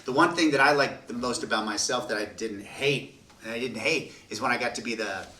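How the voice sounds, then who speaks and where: A man's voice: medium pitch, a little fast, bright, forceful tone. A male voice actor talking reflectively about his roles in a casual cast chat, repeating himself for emphasis. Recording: roomy sound, mic at a distance, faint room noise.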